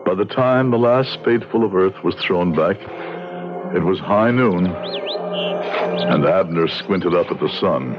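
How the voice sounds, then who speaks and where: An actor playing an earnest, compassionate frontier judge in a radio drama, speaking in a formal, emphatic way. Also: male voice, low-pitched, slow pace, long pauses, music in the background. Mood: reflective narrating. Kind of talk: radio drama acting and narration. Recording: slightly dull radio drama recording.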